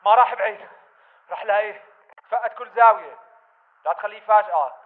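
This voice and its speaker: An actor playing a wary militant guard on patrol in a shooter game, giving terse reports with a Levantine accent. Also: male voice, sits high, fast, narrow, tinny audio, natural voice. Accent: Levantine Arabic. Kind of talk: military shooter battle chatter.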